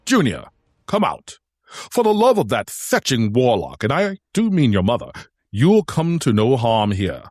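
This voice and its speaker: A man's voice: mid-range voice, wildly swooping pitch, measured pace, dry recording, studio quality, faint music behind. A man playing a grandiose uncle who guards a hidden realm, speaking floridly in an audio drama. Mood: surprised, relieved. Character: theatrical, gruff-then-genial uncle guarding a hidden realm. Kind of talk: audio drama character acting.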